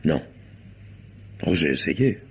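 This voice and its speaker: An actor playing a brusque, imperious businessman and wronged husband in a French radio mystery, with a husky voice. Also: a bass voice, very animated, takes it slowly, muffled recording, exaggerated voice. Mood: explaining. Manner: brusque, domineering, blunt. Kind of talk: French detective radio drama acting.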